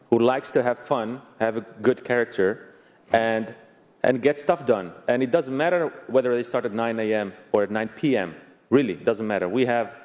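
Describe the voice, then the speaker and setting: A man from the Netherlands with a Dutch accent, speaking as an entrepreneur on a panel in short, deliberate, emphatic phrases. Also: mid-range voice, darkish tone. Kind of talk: conference panel talk. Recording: echoey hall.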